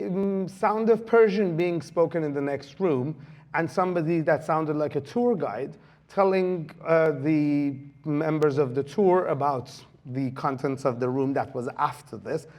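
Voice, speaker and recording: Male lecturer, slightly high voice, halting anecdotal conference talk. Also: darkish tone. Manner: hesitant, many fillers, anecdotal storytelling. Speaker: guest lecturer. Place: lecture hall.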